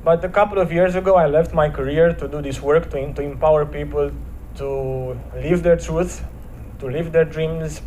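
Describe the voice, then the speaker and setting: A man with a Romanian accent speaks as a guest at a church service, his voice sitting a little high. He tells stories earnestly, slowing to lean on key phrases. A faint background hiss runs under his voice. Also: steady tone, darkish tone, poor audio. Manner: earnest, measured storytelling with slowed emphatic phrases. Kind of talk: inspirational talk with storytelling.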